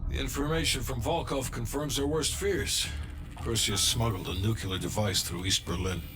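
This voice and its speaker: A man acting an unrepentant Cold War intelligence official in a video game. The voice is medium in pitch, cold, measured and guarded, with clear music underneath. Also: lightly acted. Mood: matter-of-fact.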